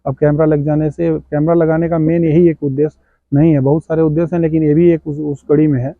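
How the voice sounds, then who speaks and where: Higher-voiced man on tinny, phone-like audio. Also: level tone, quick pace, no echo. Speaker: village head being interviewed. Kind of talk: interview answers.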